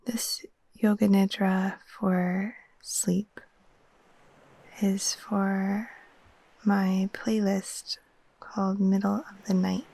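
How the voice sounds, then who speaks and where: Very slow, soft-spoken woman guiding sleep meditation. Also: mid-range voice, no echo, low-quality recording. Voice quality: soft, breathy, half-whispered. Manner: soothing, gentle, unhurried with long pauses. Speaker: yoga nidra guide. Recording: faint steady wash of ocean waves.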